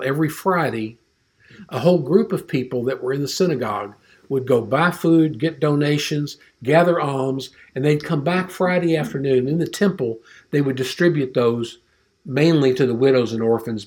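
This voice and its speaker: A man's voice: mid-range voice, a little mellow. A man teaching an informal Bible class, rambling and conversational, with frequent restarts and fillers.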